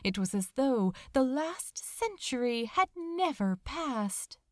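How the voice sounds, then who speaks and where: A woman reading a romantic story aloud as a narrator, with a dramatic, tender and measured delivery. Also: sits high, big pitch swings, no room sound, clean studio sound. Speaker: fan fiction audiobook narrator. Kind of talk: expressive scripted story narration.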